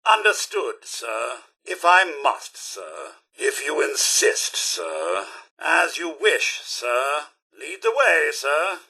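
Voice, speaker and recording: An actor voicing a prim, loyal robot butler for a video game, with a British accent and formal, servile, theatrical diction. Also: male voice, fairly high pitch, very animated, slow pace, bright, forceful tone, slightly rough, exaggerated voice. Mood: deferential, dry.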